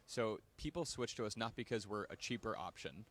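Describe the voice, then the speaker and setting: Friendly, polished man pitching software. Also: a little fast. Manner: friendly, polished, salesy explainer. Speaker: software company representative. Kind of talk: casual pitch in a chat. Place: conference venue, indoors.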